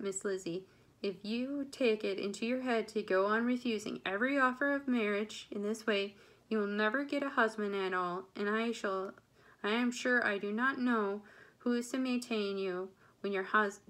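A woman's voice: mid-range voice, normal speed, fairly bright tone, close to an everyday voice. A woman reading a storybook part as a querulous, self-pitying middle-aged mother, complaining with insistent emphasis. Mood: scolding, self-pitying.

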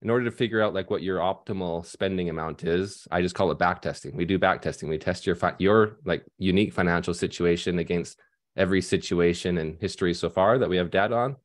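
A deep-voiced man in his thirties speaks over a headset mic on a video call from a room at home. He explains casually and loosely, full of fillers and restarts. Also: fast talker. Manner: conversational with many fillers and restarts. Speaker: financial advisor panelist. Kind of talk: casual panel discussion.

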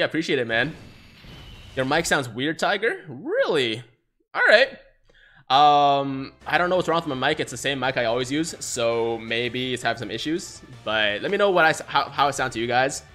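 A young man from China, a native Mandarin speaker, excitedly streaming live gaming commentary on a headset and reading chat aloud. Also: fairly high pitch. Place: small room, seated in gaming chair.

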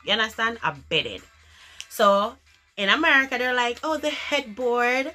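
A woman from Jamaica with a Jamaican accent, chatting casually to the camera in an animated, emphatic way and slipping into patois. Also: a little high, a little slow, soft background music. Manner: animated, emphatic, repeats words for effect, slips into patois. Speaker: vlogger. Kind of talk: casual chat to camera, explaining dialect words. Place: small furnished room indoors.

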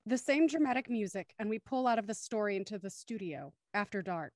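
A woman's voice: mid-range voice, expressive, brisk, a little mellow, dry recording, studio quality. A woman narrating a radio play and hosting its livestream over a video call. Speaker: narrator and host. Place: indoors on a group video call.

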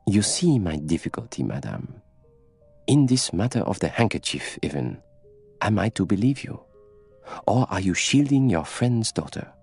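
A man voicing a shrewd, courteous detective in an audiobook, with soft, measured, polite questioning. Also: lowish voice, sing-song, vocal fry, husky, soft background music, voice pushed up, exaggerated voice. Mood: soft, insistent. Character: shrewd, courteous male detective, quietly probing.

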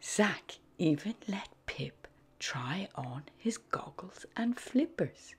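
A woman reading a children's storybook aloud in an animated, sing-song way, with a breathy voice. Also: lowish voice, takes it slowly. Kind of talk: expressive scripted storybook read-aloud for young children. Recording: background music under the voice. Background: music.

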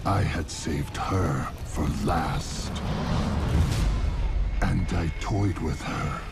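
An actor playing a murderous, obsessive clown killer who gloats in slow, menacing narration. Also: male voice, full character voice. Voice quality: low gravelly drawl. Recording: loud music score behind.

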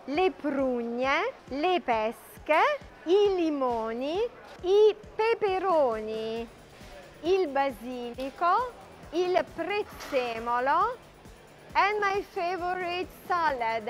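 A middle-aged woman with an Italian accent, a native Italian speaker teaching informally on location and repeating key words with translations. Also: sits high. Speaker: language and culture teacher. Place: food market stalls and deli shop.

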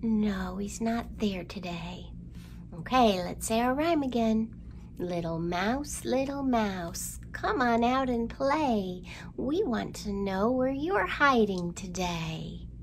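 A middle-aged woman leads a children's storytime in an expressive, sing-song way. She takes her time over each phrase, and her mid-pitched voice has a gravelly fry. Some background noise of the room sits behind her. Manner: slow, expressive, sing-song delivery addressed to small children. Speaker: children's library storytime host. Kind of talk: storytime rhymes and picture book reading for young children. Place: indoors in a library room.

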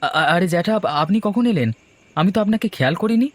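A man's voice: sits high, animated, fast talker, no room sound. A man playing a polite, uneasy, curious young nephew in a horror cartoon. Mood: surprised polite.

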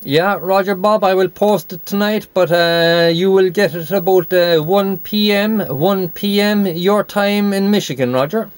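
A high-voiced man with an Irish accent talks over CB radio. He repeats himself and spells things out in radio phonetics. There is some hiss and noise on the recording. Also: measured pace, hardly any pauses. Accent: Irish, from Cork. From Ireland. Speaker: CB radio operator. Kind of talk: radio contact chat. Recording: noisy CB radio shack recording.

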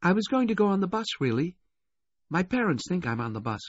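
A man's voice: high voice, big pitch swings, brisk, dry recording, highs cut off, voice pushed up. A man voicing a sulky, bored rich teenage girl who blurts things out, in an audiobook story. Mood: confiding. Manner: disgruntled, blurting.

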